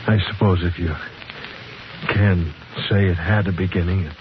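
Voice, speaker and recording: An actor playing a fearful, haunted man in hiding, halting and anguished, in a 1950s radio drama. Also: low voice, fairly even intonation, natural voice. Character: haunted, fearful young fiancé in hiding, desperate and evasive. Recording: muffled, noisy 1950s radio recording.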